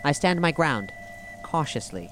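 Lively low-pitched woman role-playing over music. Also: unhurried. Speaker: player. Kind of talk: tabletop role-play, part in character.

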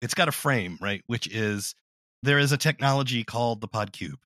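A man's voice: medium pitch, moderate pace, sharp tone, no room sound, studio quality. A man hosting an interview, asking questions and summing up in a conversational way. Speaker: interview host.

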